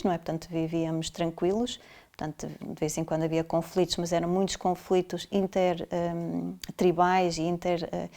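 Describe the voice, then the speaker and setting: Middle-aged woman doctor born in south africa, lowish voice, talks things through with lots of fillers and restarts. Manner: frequent fillers and restarts, steady explanatory tone. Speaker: family doctor. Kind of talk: interview answers, recounting experiences.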